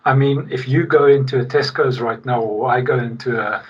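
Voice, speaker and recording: A middle-aged man from South Africa, a guest in a casual podcast interview, talking in an animated, emphatic way with frequent restarts. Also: mid-range voice, moderate pace, slightly rough. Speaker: guest, logistics executive. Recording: distant, dull remote-call sound.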